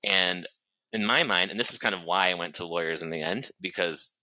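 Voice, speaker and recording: A man with a Boston accent, a guest on a podcast, telling his story in fast, chatty talk full of fillers and restarts. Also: sits low, sharp tone, no room sound, poor audio. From New Bedford, Massachusetts, USA. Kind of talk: casual interview chat.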